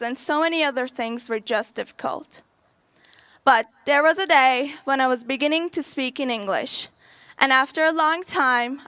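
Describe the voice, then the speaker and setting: Young woman, Farsi native, graduation speaker; high, bright, dry close sound. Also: clear, resonant tone, dry recording. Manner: earnest, reading a prepared speech. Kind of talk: prepared graduation speech.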